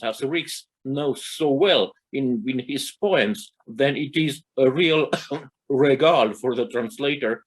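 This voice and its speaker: Halting man with a Romanian accent. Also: a little high, breathy edge. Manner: halting, searching for words with many fillers. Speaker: co-host. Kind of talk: literary interview chat.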